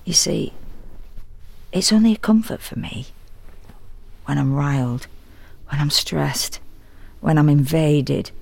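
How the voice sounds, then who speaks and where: A woman playing an exhausted, fretful woman who narrates a confessional audio drama monologue in an intimate, wry, deliberate way. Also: low voice, lively intonation, creaky, a little mellow, heavily acted. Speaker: narrator and actor.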